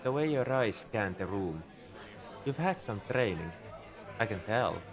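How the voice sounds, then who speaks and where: A man's voice: on the low side, expressive, a little slow. A man playing a shrewd, easygoing bartender at a space outpost in a conversational, knowing ASMR roleplay monologue. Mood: knowing, bargaining. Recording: crowd chatter behind the voice. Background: bar crowd murmur.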